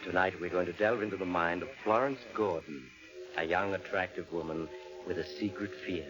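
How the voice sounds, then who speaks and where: An actor playing a sinister, mysterious storyteller, narrating theatrically as host of a 1940s radio suspense show, on a dull old recording. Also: male voice, low-pitched, music in the background, close to an everyday voice. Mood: ominous, suspenseful. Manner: theatrical, ominous, deliberate. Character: sinister mysterious storyteller inviting listeners to eerie tales.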